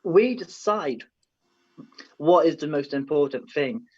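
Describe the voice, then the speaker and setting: A man's voice: on the high side, animated, slow pace, a little mellow, husky. Young man thinking aloud, dull audio. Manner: tentative, thinking aloud with many "I feel"s. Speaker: panelist. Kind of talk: online panel discussion.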